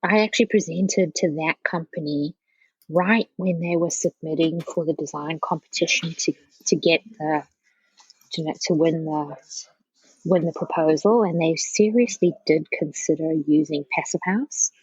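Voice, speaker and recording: A woman from South Africa with a low, slightly rough voice answers as a podcast guest. She talks casually and haltingly, restarting her thoughts as she goes. The sound is a little dull and roomy. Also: a little mellow. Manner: halting, circling back with frequent "you know". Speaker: podcast guest, architecture professional. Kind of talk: casual conversational interview.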